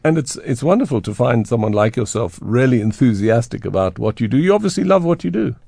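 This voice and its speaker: A man's voice: mid-range voice, lively intonation, quick pace, vocal fry, warm tone, no echo. A man hosting a radio interview show, chatting with his guest in the studio. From South Africa. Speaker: radio host. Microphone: studio microphone.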